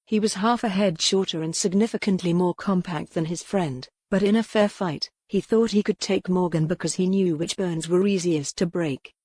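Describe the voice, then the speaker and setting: Low-voiced woman narrating a novel. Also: normal speed, few pauses, darkish tone, husky, dry recording. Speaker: audiobook narrator. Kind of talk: scripted narration.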